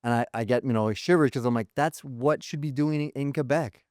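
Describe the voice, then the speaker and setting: A man's voice: mid-range voice, moderate pace, a little mellow, dry recording, studio quality. A man co-hosting a podcast, chatting casually with lots of "like" and "you know" fillers. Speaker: podcast co-host. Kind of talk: casual chat.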